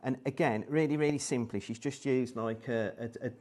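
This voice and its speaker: A man's voice: medium pitch, a little fast, darkish tone. A man from a craft company demonstrating products on a live shopping show, enthusiastic and bubbly. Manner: enthusiastic, bubbly, laughs easily.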